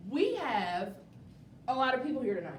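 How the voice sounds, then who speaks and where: A woman's voice: very animated, a little slow. Upbeat woman hosting live, echoey and distant. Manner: upbeat, encouraging, repeats phrases for emphasis. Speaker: host of a live community interview show. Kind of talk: enthusiastic live event hosting and interviewing. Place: indoor venue with a live studio audience. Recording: roomy, distant mic with clear rumbling noise.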